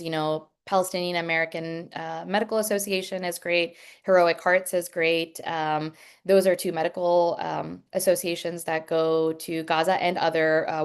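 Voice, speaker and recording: A woman's voice: on the low side, clear, resonant tone. A woman who works as an emergency room nurse, answering questions on a video call in a frank, earnest way, with many ums and restarts. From Pakistani heritage.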